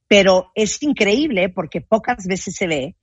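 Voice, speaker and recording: A woman's voice: medium pitch, lively intonation, a little slow, breathy edge, no echo, muffled recording. A Mexican woman with a Mexican accent, hosting an interview with an enthusiastic, praising manner. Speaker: interviewer, host.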